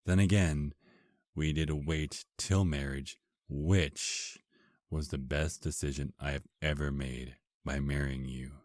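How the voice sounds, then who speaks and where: A man's voice: rumbling low, fairly even intonation, measured pace, darkish tone, no echo, studio quality. A man playing an affectionate, teasing vampire husband in an intimate ASMR romance roleplay, murmuring tenderly and flirtatiously. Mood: teasing, amused.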